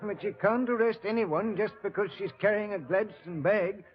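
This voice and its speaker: An actor playing a watchful, folksy police official in 1940s radio acting style. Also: male voice, sits high, moderate pace, lightly acted. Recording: muffled vintage radio recording.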